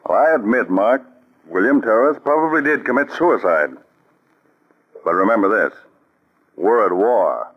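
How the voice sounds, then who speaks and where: A shrewd, commanding counterspy chief with a low, steady voice. He talks in a calm, deliberate way, coming through a dull, lo-fi old radio recording. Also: slightly rough. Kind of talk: 1940s radio acting.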